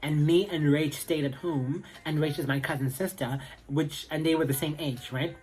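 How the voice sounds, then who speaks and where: A man's voice: on the high side, steady tone, moderate pace, darkish tone, rough recording. A young man with a South African accent, chatting casually to camera in a rambling way full of fillers. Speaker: vlogger.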